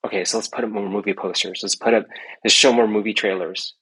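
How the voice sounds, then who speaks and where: A man's voice: lowish voice, steady tone, fast talker, a bit bright, husky, rough recording. A middle-aged man, an entrepreneur guest in a casual interview, rambling with many restarts and tag questions. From United States.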